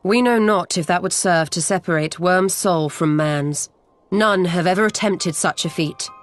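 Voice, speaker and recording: A woman voicing a wise, composed sorceress in a game cutscene, speaking in measured, solemn, archaic phrases. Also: lowish voice, soft background music, close to an everyday voice. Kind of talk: video game cutscene acting.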